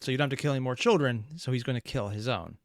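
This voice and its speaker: Male reaction host: mid-pitched, casual, analytical rambler, recorded with very little room sound. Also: very fast, dry recording, studio quality.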